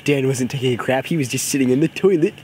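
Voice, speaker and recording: A man's voice: mid-range voice, fast talker. A man improvising a comic horror story for friends, imitating a parody voice and often repeating himself as he goes. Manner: imitating a cartoon parody character's voice as a joke; stumbling, repeats words and phrases. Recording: noisy amateur home recording.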